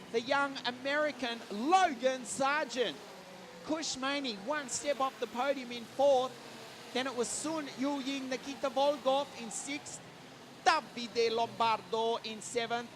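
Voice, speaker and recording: Male karting commentator, high-pitched, enthusiastic, calling results live over loud kart engines. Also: steady tone, measured pace, noisy background. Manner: enthusiastic, rattles off names and results. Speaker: race commentator. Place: trackside at a karting circuit in southern Italy.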